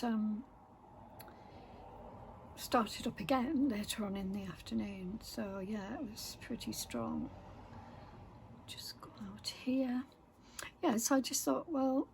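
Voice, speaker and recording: A woman vlogging casually while she stitches at home, rambling softly with many pauses, her voice croaky from a sore throat. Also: on the high side, a little hiss or hum. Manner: soft-spoken, rambling, many pauses and fillers, often half-whispered. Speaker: craft vlogger. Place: home room with window open.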